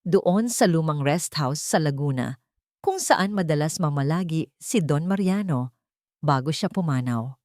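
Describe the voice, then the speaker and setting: A woman's voice: low-pitched, expressive, unhurried, darkish tone, dry recording, studio quality. A woman voicing a devoted middle-aged male lawyer in an audio drama, solemn and reassuring, mixing English with Tagalog. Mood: calm, determined.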